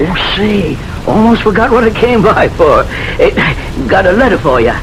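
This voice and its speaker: An actor playing a friendly, chatty small-town neighbor in a radio drama, with a casual, folksy manner. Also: male voice, sits high, very animated, moderate pace, loud music behind the voice. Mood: friendly, teasing. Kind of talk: 1970s radio drama acting. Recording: noisy old radio broadcast recording.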